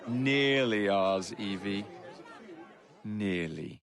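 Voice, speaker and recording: A brash young Victorian rogue with an English accent. His delivery is flippant and very animated, swinging up and down in pitch as in a video game cutscene. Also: very slow, faint music behind, some chatter behind, exaggerated voice. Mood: teasing.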